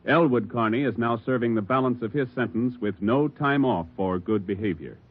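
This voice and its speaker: A man's voice: medium pitch, normal speed. An American man announcing formally from a script in the style of 1940s radio. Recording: tinny 1940s radio recording, loud brass music.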